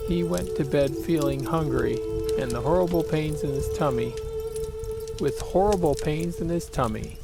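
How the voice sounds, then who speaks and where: A man narrating a children's picture book aloud in a deliberate, expressive storytelling manner. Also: on the high side, creaky, loud music behind the voice.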